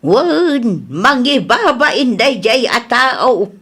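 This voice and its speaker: A stern Ilocano Filipino woman in charge, played by a woman, with a somewhat high voice. She interrogates bluntly and suspiciously in radio drama style. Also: very animated, slow, fairly bright tone, husky, exaggerated voice. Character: stern, suspicious older female authority, boss or mother.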